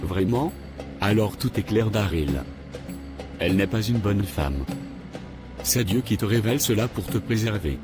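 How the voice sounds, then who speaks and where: A man's voice: fairly low pitch, animated, unhurried, long pauses, over music. A man playing a self-assured older church brother. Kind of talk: animated cartoon voice acting.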